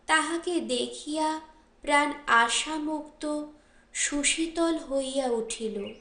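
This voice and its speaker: A woman with a high voice narrates a literary audiobook. She reads very slowly and with measured drama. The room lends her voice a clear echo. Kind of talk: literary scripted story narration. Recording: roomy, echoing voice recording.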